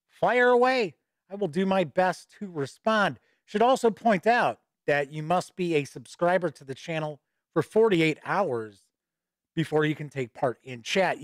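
A man's voice: sits high, no room sound, studio quality. Chatty middle-aged man, slightly stuffy. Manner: chatty and upbeat, reading news with asides. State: a little stuffy with a starting cold. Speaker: host and editor-in-chief of a tabletop gaming news show. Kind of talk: casual live-stream news chat. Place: home studio with shelves of games behind. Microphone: studio mic on boom arm close to mouth.

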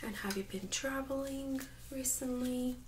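Woman, ASMR medical exam role-play, gentle near-whisper, higher-pitched, faint background noise. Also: unhurried. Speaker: ASMR performer playing a medical examiner. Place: small indoor room set up as exam office.

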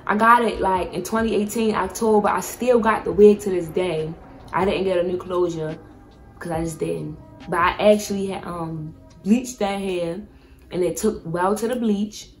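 A young woman vlogger giving a casual, chatty product review, speaking candidly with plenty of slang and swearing. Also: mid-range voice. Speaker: beauty vlogger.

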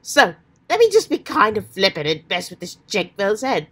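A woman voicing an earnest, excitable, old-fashioned adventurous teenage boy with hammy, folksy slang, while getting over a cold. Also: medium pitch, moderate pace, breathy edge. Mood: mocking. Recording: faint background noise.

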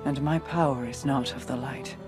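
A man's voice: medium pitch, steady tone, normal speed, over music, natural voice. A man voicing an aloof, proud otherworldly monarch in a video game, formal and imperious.

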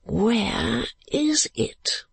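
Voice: medium pitch, wildly swooping pitch, extremely slow, creaky, husky, no echo, pitched above the performer's own voice, heavily acted. A narrator voicing a foolish, eager young English lord in a storybook, with an affected, wheedling drawl. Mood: impatient, wheedling. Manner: drawling, affected, hushed and pleading.

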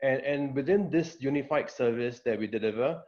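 A middle-aged man introducing himself as a tech company vice president on a panel, speaking in a measured way with many fillers and repeats over a video call. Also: medium pitch, darkish tone. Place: indoor office. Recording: dull lo-fi video call audio.

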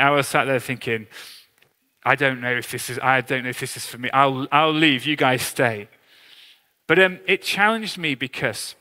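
A man's voice: medium pitch, sharp tone. A man around forty, preaching an informal sermon in a conversational, earnest, self-deprecating way. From England. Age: 30s to early 40s. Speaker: pastor, church leader. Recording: long hall reverb on preaching voice.

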